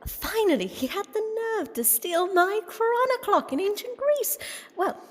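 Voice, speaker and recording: An actress playing a gleeful, gloating alien time-meddler villain in an audio drama, with a theatrical, taunting, giggling delivery. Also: female voice, very high voice, very animated, unhurried, full character voice. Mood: smug, gloating. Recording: long cavernous reverb.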